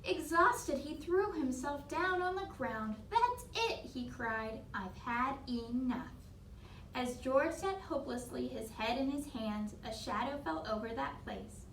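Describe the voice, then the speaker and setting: A woman reading a picture book aloud to young children in an animated storytelling style. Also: sits high, a little slow, few pauses. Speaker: children's story reader, teacher. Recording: echoey room, distant mic, faint noise.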